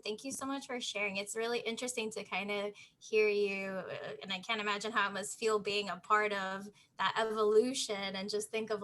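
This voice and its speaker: A woman's voice: medium pitch, sharp tone. A woman hosting a panel over a video call, upbeat, enthusiastic and chatty. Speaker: host, moderator. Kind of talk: casual panel moderation.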